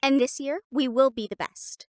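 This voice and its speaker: A woman's voice: high voice, normal speed, no room sound, clean studio sound, close to an everyday voice. A woman voicing a snobby, catty teenage catgirl cheer captain in an audio roleplay, talking in a haughty, teasing and bossy way. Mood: smug.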